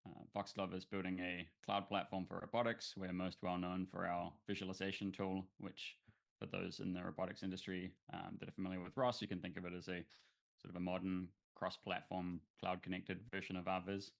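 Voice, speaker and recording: New Zealand man, low voice, gravelly, relaxed interview guest. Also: brisk. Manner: relaxed, thoughtful, many fillers and restarts. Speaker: guest, startup founder. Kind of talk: casual interview.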